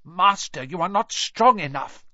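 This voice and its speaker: A man's voice: high-pitched, animated, unhurried, pushed and bright, dry recording, voice pushed up, exaggerated voice. A man voicing a timid, frightened male servant in an audiobook, pleading and panicked, in a slightly dull lo-fi recording.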